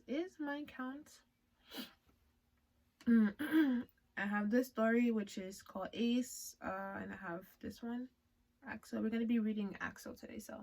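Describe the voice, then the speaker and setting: A woman from Liberia with a Liberian accent, whose first language is not English, chatting casually with viewers and reading her own story aloud. She uses playful slang and giggles often. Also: mid-range voice.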